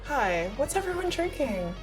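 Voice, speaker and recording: A woman's voice: high voice, very animated, normal speed, faint music behind. A woman improvising casually as a player in a tabletop roleplaying game.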